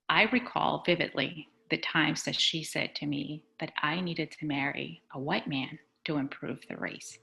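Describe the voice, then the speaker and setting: Low, gravelly woman from Chile. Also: a bit bright, low-quality recording. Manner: earnest, measured, reflective. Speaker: executive superintendent. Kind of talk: personal speech at a community board meeting. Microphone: video call.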